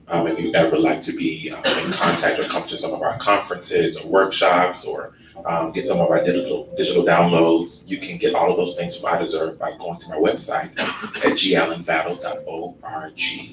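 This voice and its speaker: A man with a very low, sleepy voice leads a workshop at an easy, unhurried pace, with plenty of ums. He sounds relaxed and takes his time. He is recorded in a conference room from a distance, so the sound is roomy and carries background noise. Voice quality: sleepy, laid-back delivery. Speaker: workshop presenter. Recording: echoey room from far off with noise.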